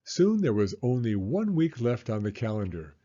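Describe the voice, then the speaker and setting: A man reading a children's story aloud as an animated narrator. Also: mid-range voice, moderate pace, muffled recording.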